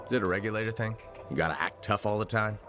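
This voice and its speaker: An actor playing a friendly, helpful adventurer in a video game, talking in a casual, reassuring way. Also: male voice, lowish voice, fast, music in the background, lightly acted. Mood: casual, wry. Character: steady, friendly male freelancer adventurer, helpful and gently probing. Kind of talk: video game dialogue acting.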